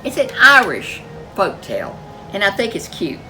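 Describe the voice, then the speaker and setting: A woman storyteller reading a folk tale picture book aloud in a gentle, expressive way. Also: medium pitch, normal speed, soft background music, rough recording.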